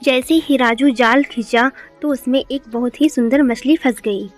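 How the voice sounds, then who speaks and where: A woman's voice: high voice, normal speed, soft background music. A woman reading scripted narration for a moral story, as a storyteller.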